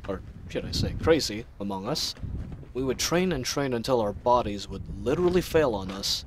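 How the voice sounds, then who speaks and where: An idealistic knight captain voiced by a man speaks in a mid-pitched voice, earnest and given to rambling. It is a fantasy audio drama monologue, reflective yet playful, with clear ambient noise laid behind it. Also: animated, normal speed, close to an everyday voice. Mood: animated, rambling. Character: earnest, chatty young male knight captain, idealistic and teasing. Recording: noisy ambient sound effects bed. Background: added outdoor ambience sound effects.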